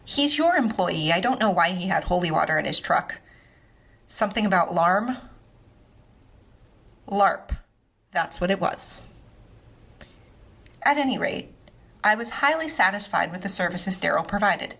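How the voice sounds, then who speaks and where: Casting: actress for courteous, formal, quirky female doctor in comic fiction podcast, husky, deeper register. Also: measured pace, pauses often, full character voice. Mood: grateful. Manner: formal, polite, deadpan. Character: eccentric, courteous woman doctor on the telephone. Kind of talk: comic audio fiction monologue.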